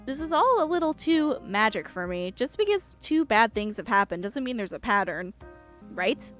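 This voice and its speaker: A woman voicing a blunt, skeptical young woman in a visual novel, quick to confront. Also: on the high side, big pitch swings, a bit bright. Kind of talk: visual novel character reading. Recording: music behind the voice.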